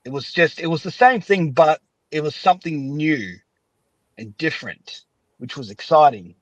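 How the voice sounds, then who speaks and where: A man's voice: fairly high pitch, expressive, normal speed, no echo, poor audio. A man hosting a podcast in casual chat, talking in an enthusiastic, rambling, chatty way. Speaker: podcast host and fan-dub maker.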